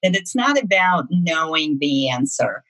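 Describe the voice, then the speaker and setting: A woman's voice: low-pitched, sing-song, a little slow, a bit bright, low-quality recording. A middle-aged woman from Russia, speaking with a Russian accent, tells her career story in an interview with fluent, emphatic phrasing that repeats words for stress. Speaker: guest, marketing executive.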